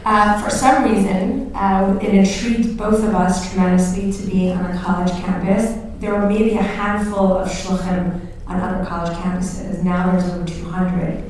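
A woman tells her story in a calm, measured way, filling her pauses with "um." Her voice sits at a middle pitch. It comes from far off in a very echoey room, with faint noise behind it. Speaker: co-host and Chabad emissary. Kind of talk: recounting in a live interview. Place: live recording before an audience.

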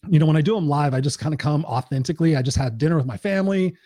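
A man with a hoarse voice, hosting a live-stream show as a life coach, talking in an energetic, profane, rambling way. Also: a little high, very fast, dry recording, clean studio sound. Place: home.

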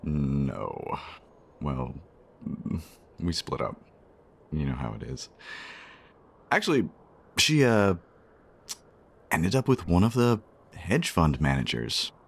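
A man's voice: a bass voice, wildly swooping pitch, vocal fry, slightly rough, exaggerated voice. An actor playing a shy, self-conscious man in a romantic radio play, speaking hesitantly. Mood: subdued, sheepish. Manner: hesitant, halting, with sheepish chuckles. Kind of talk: naturalistic radio play dialogue.

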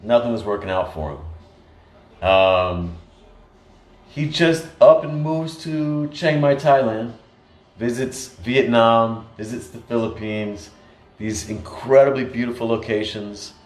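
An American man in casual conversation, telling stories in an animated way with frequent restarts. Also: medium pitch, takes it slowly. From United States. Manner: storytelling, animated, many restarts. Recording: echoey room.

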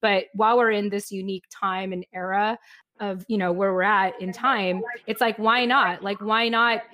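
A woman's voice: mid-range voice, steady tone, normal speed, sharp tone, no room sound. A woman hosting a podcast, talking reflectively and rambling a little with fillers. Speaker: podcast host.

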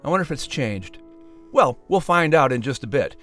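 A man narrating a children's story as announcer, with expressive, scripted storytelling delivery. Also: mid-range voice, moderate pace, soft background music.

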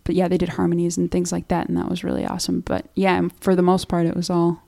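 Young woman from Minnesota, singer-songwriter guest; chatty and self-deprecating, voice sits a little low. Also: monotone, fast talker, creaky, warm tone. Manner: chatty, restarts often, self-deprecating laughs. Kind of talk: casual studio interview.

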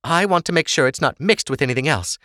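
A man's voice: a little high, lively intonation, fast talker, bright, forceful tone, no room sound, studio quality, voice pushed up. A man voicing a worried, loving noble matriarch with a coaxing, motherly manner, in an audiobook story. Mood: tender.

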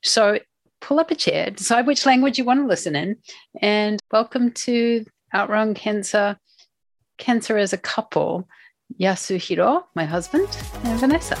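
A woman's voice: medium pitch, animated, normal speed, no echo, soft background music. A woman in her forties hosting a podcast. She chats casually with her husband and laughs often. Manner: warm and chatty, laughs often. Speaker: podcast host.

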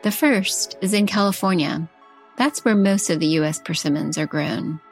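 A woman, a chef hosting a podcast, reading a poetic love letter and scripted narration in a slow, intimate way. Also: on the low side, soft background music.

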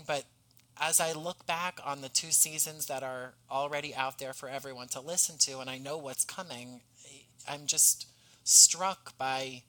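A man's voice: fairly high pitch, sharp tone, rough recording. A man speaking as a podcast guest in a conversational interview, earnest and effusive in manner. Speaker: podcast guest, audio drama writer and actor.

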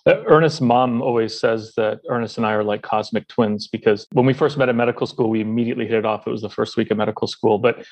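A man's voice: mid-range voice, fairly even intonation, fast talker, hardly any pauses, a little mellow, rough recording. A middle-aged man giving conversational answers as an interview guest. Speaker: podcast guest, company founder.

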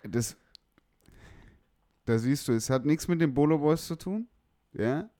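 A man's voice: fairly high pitch, animated, a little slow, mellow, no echo, studio quality. A young man from northern Germany chatting casually about music, slangy, blunt and opinionated. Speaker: music producer, podcast guest.

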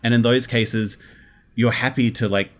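An Australian man chatting casually as an interview guest, conversational and animated. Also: medium pitch, normal speed. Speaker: interview guest, audio drama producer.

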